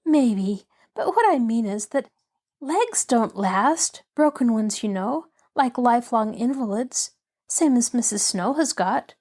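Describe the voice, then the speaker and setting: A woman's voice: a little high, lively intonation, slow, darkish tone. A woman voicing a cheerful, earnest little girl in a storybook, chattering on in an eager, artless, rambling way. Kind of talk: children's storybook character voice.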